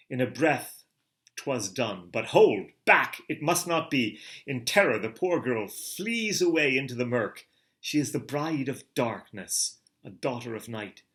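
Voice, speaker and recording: A middle-aged man giving a theatrical reading of a novel, moving from hushed murmurs to booming calls. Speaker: reader of a novel. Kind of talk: dramatic literary reading.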